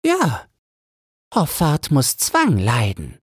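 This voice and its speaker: A man acts out an elderly mermaid queen from a storybook, a strict and proud grandmother. The voice is pitched high and swoops about, with a fussy, commanding manner. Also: huge pitch swings, very slow, husky, no room sound, clean studio sound, full character voice.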